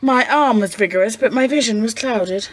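A woman's voice: on the high side, moderate pace, natural voice. A woman playing a genteel, anxious upper-class 1920s wife in a radio play, formal and pleading. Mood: strained, formal.

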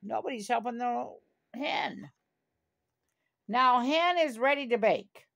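An older woman reading a children's story aloud in a deliberate manner, with small asides to the listener. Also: fairly high pitch, expressive, creaky, a bit bright, dull audio. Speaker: storybook reader.

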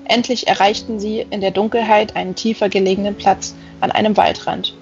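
A woman's voice: mid-range voice, little pitch movement, a little fast, a little mellow, soft background music. A woman reading a fantasy novel aloud as scripted audiobook narration. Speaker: audiobook reader. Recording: dull lo-fi sound.

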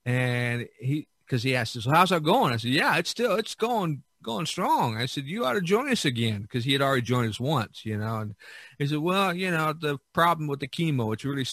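A man hosting a casual podcast chat, talking haltingly and often restarting his phrases. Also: fairly high pitch, big pitch swings, a bit bright, no room sound. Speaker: podcast host.

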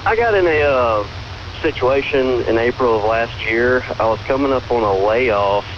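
A man's voice: medium pitch, moderate pace. A polite, hesitant man from the southern United States calling a radio show and chatting casually, heard through a radio. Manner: hesitant, polite, addressing host as sir. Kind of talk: casual phone-in conversation. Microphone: call replayed from a portable radio speaker. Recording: dull, noisy AM radio relay of a call.

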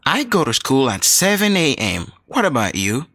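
A man reads a language textbook aloud, his pitch leaping up and down in a sing-song way. He speaks slowly and clearly for learners. The recording is close and dry, with no room sound. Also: big pitch swings, sharp tone, no room sound. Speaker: textbook audio reader. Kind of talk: scripted dialogue reading for learners.

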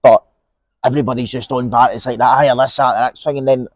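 Young Scottish man, podcast co-host, mid pitch, casual football chat, lapel mic, dry. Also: fast talker, no echo. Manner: relaxed, opinionated, many fillers and restarts. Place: outdoors in an empty stadium stand.

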